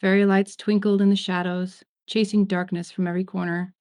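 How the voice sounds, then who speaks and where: A woman's voice: medium pitch, little pitch movement, a little fast, a little mellow. A woman introducing and reading a story aloud. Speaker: fan fiction reader.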